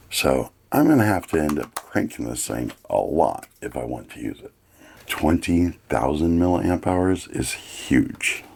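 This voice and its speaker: Very deep, gravelly man reviewing gear. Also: a little mellow. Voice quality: gravelly, husky. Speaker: product reviewer. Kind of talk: casual hands-on product review.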